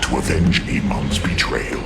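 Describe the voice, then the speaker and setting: A scornful alien warlord from a video game. The voice is deep and gravelly, with theatrical, commanding swings in pitch. Loud music plays behind him. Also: male voice, unhurried. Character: imperious, scornful alien warlord seizing power, sardonic and ruthless. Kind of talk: video game cutscene acting.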